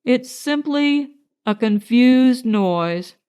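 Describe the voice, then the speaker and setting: A woman puts on a mournful old male donkey from a children's storybook. He grumbles very slowly and ponderously, with long-suffering gloom in every phrase. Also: fairly high pitch, animated, dark tone, smooth. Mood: gloomy grumbling.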